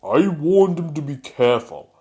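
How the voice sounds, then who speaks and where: A man's voice: on the high side, big pitch swings, normal speed, dark tone, slight background noise, pitched above the performer's own voice. A man voicing a proud, stern old steam engine in a storybook, with a Welsh accent and a pompous, scolding manner. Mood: grave. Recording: music behind the voice.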